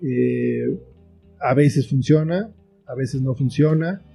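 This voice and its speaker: A man's voice: medium pitch, takes it slowly, gravelly, warm tone, faint music behind. A middle-aged man with a Mexican accent, talking casually as the guest in an interview. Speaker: interview guest, writer and film teacher.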